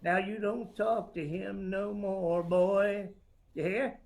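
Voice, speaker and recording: A man's voice: high voice, steady tone, slow pace, a little mellow. An actor playing a sly, menacing older feuding mountain man in a radio drama, with a taunting, folksy Appalachian drawl. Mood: mock-sorry menace.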